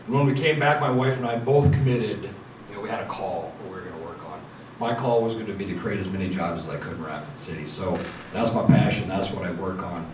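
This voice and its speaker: Deep-voiced man on a distant echoey mic. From United States. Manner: earnest, with frequent fillers. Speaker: panelist. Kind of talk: panel introduction. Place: meeting room. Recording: echoey room mic from far off, noisy.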